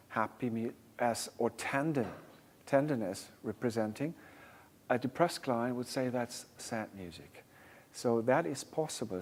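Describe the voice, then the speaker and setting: A man's voice: medium pitch, a little mellow, in a large hall. A man with a German accent and German as his first language, speaking as a music therapy researcher on a panel and often hesitating and restarting as he searches for words. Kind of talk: panel discussion.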